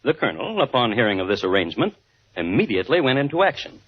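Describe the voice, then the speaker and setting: A dryly ironic crime-story host narrates for 1950s radio. His phrasing is deliberate and his pitch lively around an ordinary male register. The recording is dull and old. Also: breathy edge. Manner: deadpan irony, deliberate phrasing. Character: dry, wry crime-story host telling old scandals.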